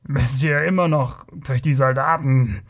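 Here is a man playing a swaggering male rogue from a fantasy audiobook. He jeers and bellows with scornful condescension. His temper is short and his boasting is loud. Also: medium pitch, a little slow. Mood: boastful warning. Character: mocking, boastful, hot-tempered southern male thief in a tavern.

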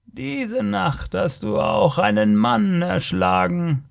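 A man voicing an ancient, blind, tortured alien creature in a story, gentle and sorrowful, with a halting, lamenting delivery. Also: sits high, animated, creaky, pitched above the performer's own voice, heavily acted. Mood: hesitant, sighing.

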